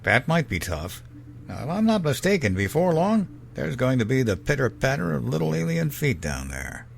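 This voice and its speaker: A man playing a calm, reassuring, seasoned ship's doctor in a science fiction audio drama. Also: medium pitch, moderate pace, gravelly, a little hiss or hum. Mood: wry.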